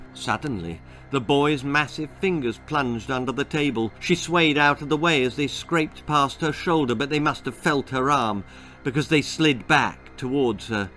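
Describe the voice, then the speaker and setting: A man's voice: mid-range voice, a bit bright. A man reading a children's horror-comedy story in a theatrical, precise storytelling style. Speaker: author reading his own story.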